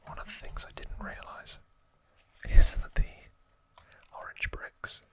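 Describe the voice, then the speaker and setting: An ASMR host softly whispering a trivia talk in a drawn-out way, with pauses between short phrases.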